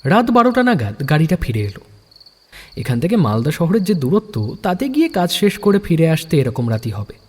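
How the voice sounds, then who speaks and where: A man narrates a story dramatically in a husky, fairly high voice with lively swings of pitch. A long reverb hangs around his words. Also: brisk.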